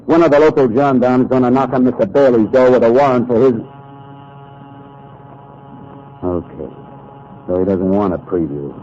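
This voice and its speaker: An actor playing a hard-boiled, wisecracking newspaper columnist in 1940s radio noir, speaking tersely and toughly. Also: male voice, medium pitch, fairly quick, dark tone, natural voice. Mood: mocking. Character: hard-boiled, defiant male newspaper columnist narrating his story. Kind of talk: 1940s radio noir acting and first-person narration. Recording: noisy, muffled 1940s radio recording.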